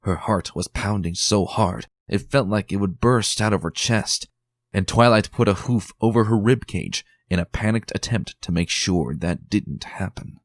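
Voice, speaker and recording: A man giving a dramatic, expressive reading of a fan story as narrator. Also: lowish voice, moderate pace, dry recording. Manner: expressive, tense and theatrical. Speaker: fan reader.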